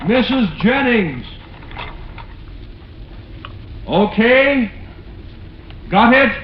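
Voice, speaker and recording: An actor playing a nervous, pleading, eerily obsessed widower with a halting, insinuating manner in an old-time radio suspense play. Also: male voice, very high-pitched, expressive, heavily acted. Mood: calling out. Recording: muffled, noisy vintage radio recording.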